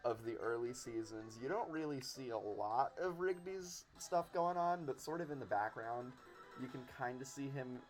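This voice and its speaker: A man's voice: medium pitch, lively intonation, moderate pace, low-quality recording. A man in an enthusiastic, rambling, casual chat as a podcast guest. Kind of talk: casual chat about cartoons. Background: game music.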